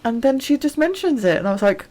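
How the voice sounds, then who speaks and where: A woman's voice: high-pitched, sing-song, fast talker, dark tone. A woman co-hosting a podcast, chatting casually, agreeing often and laughing easily. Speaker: podcast co-host. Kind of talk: casual chat.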